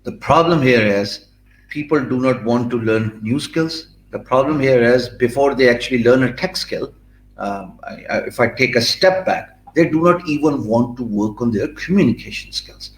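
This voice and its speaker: Man, Pakistani accent, middle-aged, mid-pitched voice, reverberant room. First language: Urdu. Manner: fluent but filler-heavy, with frequent restarts and emphatic pauses. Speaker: guest. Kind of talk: interview answers.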